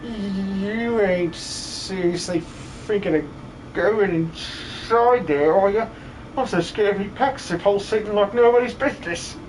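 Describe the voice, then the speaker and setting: A man voicing a boastful but cowardly muscle-bound miner with rough, cheery working-class speech in a video game fan dub. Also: high voice, slow pace, a little hiss or hum, low-quality recording. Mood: frightened.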